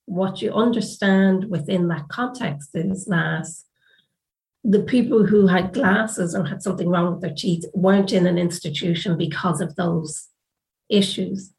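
A woman with an Irish accent speaks in a low voice with noticeable vocal fry. She sounds reflective and deliberate, like a researcher giving measured testimony for a documentary interview. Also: darkish tone, poor audio. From Ireland.

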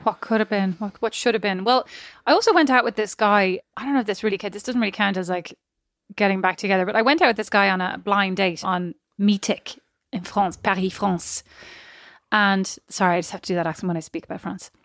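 A woman's voice: medium pitch, fairly quick, fairly bright tone, no echo, clean studio sound. A young Irish woman co-hosting a podcast, telling stories in a chatty way and acting out what people said. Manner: chatty storyteller, acts out quotes. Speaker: podcast co-host. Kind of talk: casual chat.

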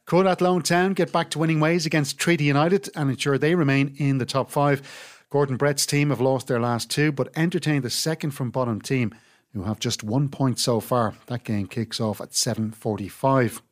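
Male Irish sports podcast host, mid-pitched, delivering scripted match round-up narration. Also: steady tone, normal speed.

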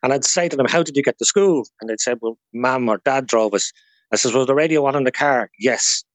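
A man's voice: medium pitch, fairly quick, no echo. A man with an Irish accent talking as a guest historian in a radio interview, explaining things informally through anecdotes.

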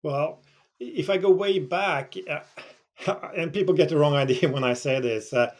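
A man's voice: on the high side, unhurried, a little mellow. Thoughtful hesitant male film director. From Norway. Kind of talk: interview answer.

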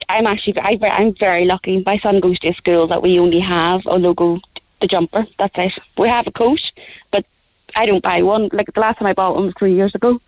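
A woman's voice: a little fast, no room sound, narrow, tinny audio. Belfast woman calling in, emphatic. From Northern Ireland. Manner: lively, emphatic, pitch jumps up when stressing points. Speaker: caller, back-to-school campaign organiser. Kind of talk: phone-in radio chat.

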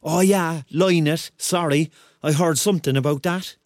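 An actor playing a rambling, excitable Cork man in his thirties, telling his story in a colloquial radio drama style. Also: on the high side, moderate pace, no echo, clean studio sound, close to an everyday voice. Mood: bewildered, eager. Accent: Cork Irish. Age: 30s. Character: rambling, excitable thirty-year-old Corkman on a surreal night out. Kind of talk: radio drama acting, first-person narration and dialogue.

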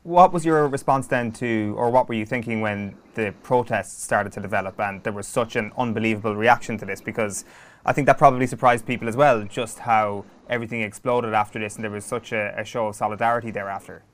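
Irish man as interviewer, fairly low voice, serious and measured, long conversational questions. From Ireland.

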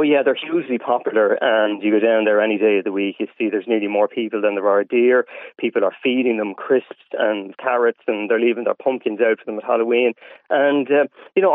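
A man's voice: medium pitch, no echo. Irish man on a tinny phone line. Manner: measured, many hesitant fillers. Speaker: wildlife campaigner, radio guest. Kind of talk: phone-in radio interview.

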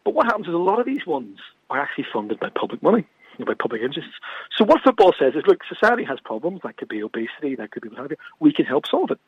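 A man's voice: fairly high pitch, very animated, very fast, slightly rough, no room sound. A man speaking as a guest on a radio talk show, passionate and emphatic, often repeating words as he presses his point. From from across the water from Scotland. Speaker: guest from an organisation. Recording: phone-like dry radio line.

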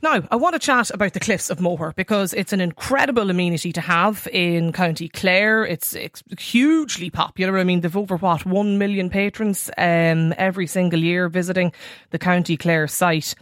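A woman's voice: fairly low pitch, moderate pace, fairly bright tone, dry recording, clean studio sound. A woman with an Irish accent hosting a radio phone-in, chatting in a wry, conversational way and prompting callers with questions. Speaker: radio talk show host.

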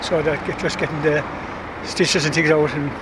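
A man's voice: fairly high pitch, fairly even intonation, moderate pace. Good-humoured man in his eighties. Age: 80s. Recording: loud traffic noise outdoors.

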